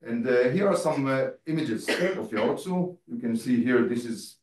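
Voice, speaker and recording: A man's voice: mid-range voice, lively intonation, unhurried, a little mellow, far from the mic. Man lecturing in an echoey hall. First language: Hebrew. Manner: hesitant, many fillers and restarts. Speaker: guest lecturer. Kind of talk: public lecture.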